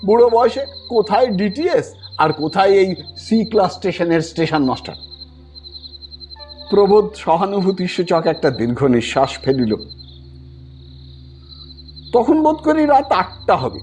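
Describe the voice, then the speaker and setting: A man's voice: sits high, big pitch swings, unhurried, pauses often, a little mellow, soft background music. A man narrating a scripted story in a theatrical, dramatic manner, acting out the dialogue as he goes. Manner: theatrical, acts out characters' dialogue.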